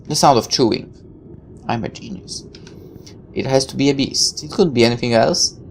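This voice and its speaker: A woman's voice: very deep voice, moderate pace, gravelly, a little hiss or hum. A woman playing a visual novel, reading the text aloud and dropping irreverent, sarcastic asides. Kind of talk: game playthrough, reading text aloud with offhand commentary.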